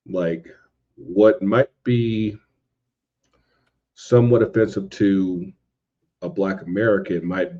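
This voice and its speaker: A man's voice: sits low, mellow, muffled recording. A man co-hosting a podcast, talking casually and informally with rhetorical asides.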